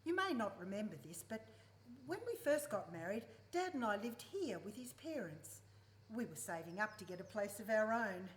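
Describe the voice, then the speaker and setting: A woman playing an older farm mother in a radio play, wry, grumbling and protective. Her voice sits fairly high and swings freely up and down. It is heard in a roomy space with noise behind it. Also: close to an everyday voice. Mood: reflective. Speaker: actor in a live radio play. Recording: roomy live recording with clear background noise.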